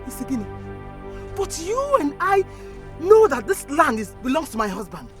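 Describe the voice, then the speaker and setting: An indignant Igbo Nigerian wife and mother in a film drama. Her voice is high and very animated, and she argues emphatically, repeating her accusations. Faint music runs behind her. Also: normal speed. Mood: protesting.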